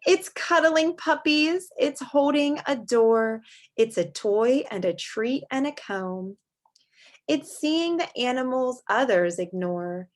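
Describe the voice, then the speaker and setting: A woman's voice: sits high, lively intonation, takes it slowly. A woman teacher reading a picture book aloud to young children, expressively and in simple words, with chatty asides.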